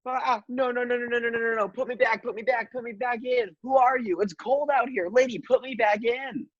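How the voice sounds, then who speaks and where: A woman's voice: fairly high pitch, brisk, no echo, pitched above the performer's own voice, lightly acted. A wisecracking baby boy, played by a woman in a comedy radio play, exclamatory and easily startled, talking as if narrating his own life. The sound is dry and a little dull. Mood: panicked, protesting. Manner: exclamatory, wry, repeats words.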